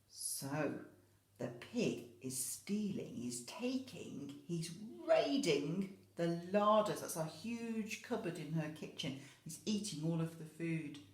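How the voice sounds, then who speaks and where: A woman teacher telling a children's story expressively, pausing to explain and question as if to small children. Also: medium pitch, a little mellow. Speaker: infant school teacher reading a story. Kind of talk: animated children's storytelling with asides. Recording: echoey room.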